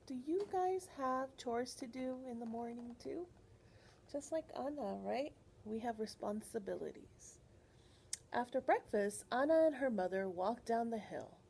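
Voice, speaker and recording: A woman reads a picture book to young children in a high, lively voice. She takes her time, with a soft breathy edge, and keeps turning to her listeners with teacherly questions. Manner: animated, expressive, teacherly, pausing to question children. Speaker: children's librarian reading aloud.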